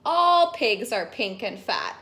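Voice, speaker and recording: Storybook boy cat, worried and stubborn, high and swooping played by a woman reader. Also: sing-song, slow, bright, forceful tone, exaggerated voice. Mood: worried, insistent. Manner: muttering, mumbling excuses. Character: Caramba, young male cat, worried, secretive, stubborn.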